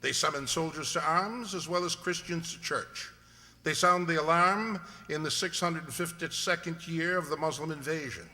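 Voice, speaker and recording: A man acts an erudite English detective in a radio play. His voice is fairly high and crisply forceful, precise and drily teasing. It rings in a long, hall-like reverb. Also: moderate pace. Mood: lecturing. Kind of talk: old-time radio drama acting.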